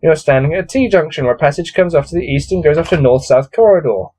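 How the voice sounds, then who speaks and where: A man's voice: on the high side, fast talker, dark tone. A man hosting a gamebook read-through, mixing read-aloud narration with casual, bored and exasperated commentary. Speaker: host reading a gamebook aloud.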